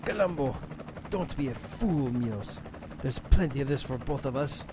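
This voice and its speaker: A man's voice: mid-range voice, lively intonation, normal speed, noisy background, close to an everyday voice. A man voicing a greedy, treacherous villain with a commanding, scheming manner in an audio drama.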